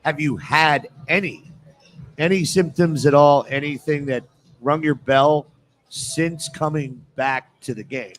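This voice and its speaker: Higher-pitched middle-aged man chatting. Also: slow, fairly bright tone. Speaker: radio show panelist. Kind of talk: casual sports talk. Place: live radio show at a casino.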